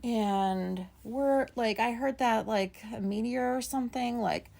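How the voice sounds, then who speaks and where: A woman in her thirties with a raspy voice, talking casually and rambling on her solo podcast, with many fillers and some swearing. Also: on the high side, unhurried, a little mellow. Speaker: podcast host.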